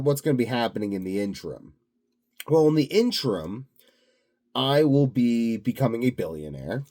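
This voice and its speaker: A man's voice: on the low side, mellow. A tired, sleepy man rambling through an unscripted video diary in a dry, tongue-in-cheek, grandiose way. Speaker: vlogger, channel host.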